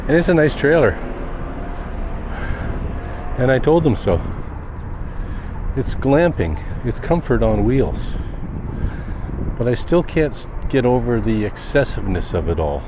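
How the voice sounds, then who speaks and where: A man giving a musing, unhurried and wry vlog monologue while out on the sidewalk. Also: medium pitch, very animated. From Canada. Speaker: daily vlogger. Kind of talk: reflective walking vlog monologue. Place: outdoors on quiet sidewalks. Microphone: handheld camera with wind muff. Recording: outdoor street noise, passing cars, wind.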